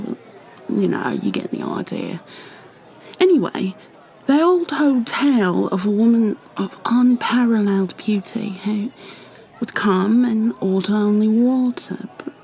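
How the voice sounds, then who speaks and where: A woman playing a kindly, chatty tavern keeper in an ASMR roleplay, rambling gently with fillers and slipping into whispers. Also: medium pitch, gravelly, poor audio.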